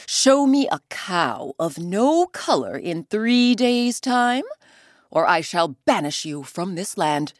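A woman's voice: mid-range voice, very animated, slow pace, no echo, studio quality. A woman voicing a proud, jealous king in a storybook tale, haughty and demanding.

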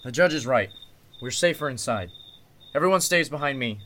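A man acts a take-charge lawyer in a vintage-style radio thriller. His voice is bright and forceful with lively swings in pitch, and his manner is measured and commanding, over noticeable night-time cricket noise. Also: lightly acted. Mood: grim. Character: calm, take-charge male lawyer carrying a gun. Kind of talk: old-time radio mystery acting.

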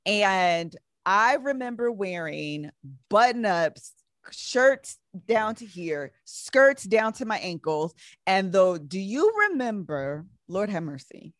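A woman in her twenties talks through a casual podcast chat, animated as she tells stories. Her pitch sits at a middle level for a woman, and the room sound is dry and close. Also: takes it slowly, dry recording. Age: 20s.

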